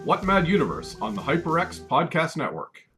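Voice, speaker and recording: A man's voice: a little high, big pitch swings, moderate pace, a bit bright, soft background music. A man announcing a podcast in a scripted promo read.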